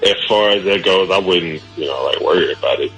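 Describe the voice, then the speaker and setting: A man with a lowish voice on a call, music clearly playing over him. He talks casually and haltingly, repeating his words. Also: a bit bright, rough recording. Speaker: radio show call participant. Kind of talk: casual phone conversation.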